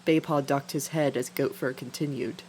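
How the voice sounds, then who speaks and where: A woman's voice: extremely low pitch, fairly even intonation, moderate pace, a little mellow, husky, poor audio. A woman reading scripted fiction as a dramatic narrator. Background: music.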